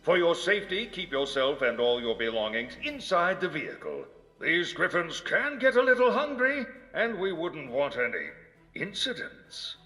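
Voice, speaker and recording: An actor playing a noble paladin who hams it up as a theme park announcer in a video game, courtly and grandiose. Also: male voice, high voice, bright, forceful tone, full character voice. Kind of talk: scripted game announcer voice lines.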